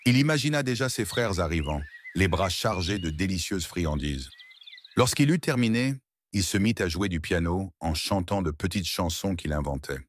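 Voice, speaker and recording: A man narrating a scripted children's story with expressive, playful storybook delivery. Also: deep voice, moderate pace, dry recording.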